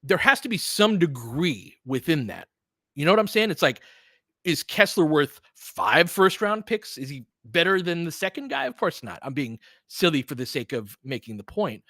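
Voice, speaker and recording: A man's voice: on the high side, very animated, normal speed, a bit bright, no room sound, clean studio sound. A man hosting a casual live sports talk stream, thinking aloud and posing rhetorical questions to make his points. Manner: thinks aloud, poses rhetorical questions, stresses points with check-ins like "right?". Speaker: host of a live basketball audio play-by-play and discussion stream. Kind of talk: casual live sports analysis, replying to chat.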